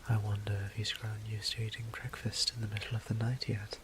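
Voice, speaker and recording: A man playing a weary, courteous immortal vampire priest in an audio drama, speaking formal, old-fashioned English in a breathy, gravelly near-whisper. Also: fairly low pitch, completely flat delivery, fairly quick, a little hiss or hum, close to an everyday voice. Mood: musing, composed. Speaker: voice actor. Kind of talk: scripted audio drama monologue.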